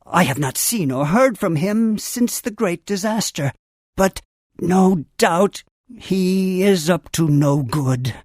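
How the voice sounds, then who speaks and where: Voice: mid-range voice, no echo. Theatrical, wise game mentor creature, dry recording. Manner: theatrical, solemn, formal storytelling delivery. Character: wise male Funkey mentor, grand, earnest tree guardian. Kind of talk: scripted video game character dialogue.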